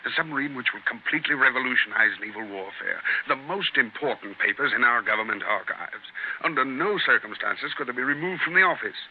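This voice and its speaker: A man plays a stout, self-important civil servant in an old radio play. He sounds pompous, fussy and grave at a medium pitch. The recording is thin and phone-like, with light hiss. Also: animated, fairly quick, lightly acted. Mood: grave, urgent. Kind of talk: 1930s radio drama acting. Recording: tinny, noisy 1930s radio recording.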